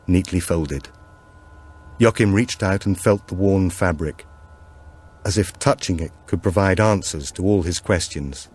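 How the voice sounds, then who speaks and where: A man reading a supernatural thriller as an audiobook narrator, in a measured, deliberate storytelling manner. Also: sits low, a little mellow.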